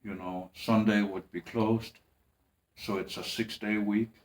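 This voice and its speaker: Slow, halting man. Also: on the low side, level tone, poor audio. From Greenland. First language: Danish. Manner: halting, hesitant, with restarts and long pauses. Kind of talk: slow personal monologue.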